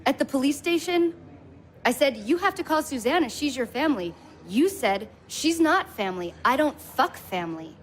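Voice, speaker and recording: A woman's voice: high voice, lively intonation, normal speed. A woman playing a wounded, pushy young woman in a naturalistic audio drama, speaking insistently and confrontationally. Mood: bitter, defiant.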